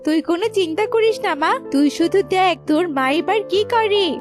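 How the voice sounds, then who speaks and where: A woman's voice: very high voice, normal speed, over music, pitched above the performer's own voice. A woman voicing an envious, boastful mother crow in a children's cartoon, threatening and greedy. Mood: indignant, scheming.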